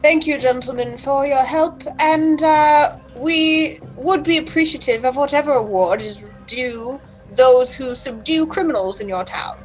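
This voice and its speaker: A woman's voice: sits high, unhurried, hardly any pauses, soft background music, low-quality recording. A woman playing a tabletop game, bold and playful in character, over a video call. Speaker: player.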